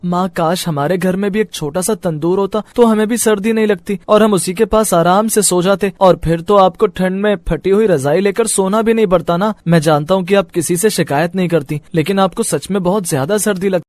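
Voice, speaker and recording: A woman voicing a caring young schoolboy talking softly to his mother in a cartoon story. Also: mid-range voice, brisk, few pauses, no room sound, clean studio sound, lightly acted. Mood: wistful.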